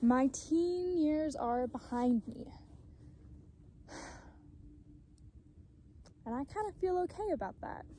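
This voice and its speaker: A woman's voice: sits high, lively intonation, slow pace, long pauses, dark tone, slight background noise. A twenty-year-old woman vlogging outdoors on a phone, rambling and self-mocking as she muses aloud, swearing often. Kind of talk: casual reflective vlog talk. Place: outdoors in a dry mountain meadow. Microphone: phone held at arm's length, later propped on the ground.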